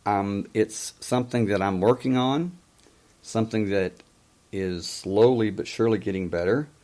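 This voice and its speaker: A man in his fifties who stutters and stammers, hosting a call-in show in a casual monologue, often pausing to search for words. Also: on the low side, darkish tone. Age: 50s. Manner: many pauses and hesitations.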